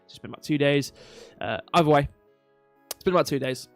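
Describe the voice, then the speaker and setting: A man's voice: fairly high pitch, fast, soft background music. Nasal man rambling on a livestream. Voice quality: slightly nasal, stuffy nose. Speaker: crypto livestream host. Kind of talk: casual rambling livestream market commentary. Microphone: close microphone.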